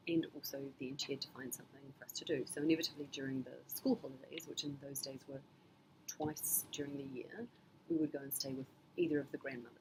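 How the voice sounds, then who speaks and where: A middle-aged woman from New Zealand, answering her child's interview questions in a thoughtful, measured way with frequent pauses and ums. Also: low-pitched, background noise. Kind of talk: casual family interview.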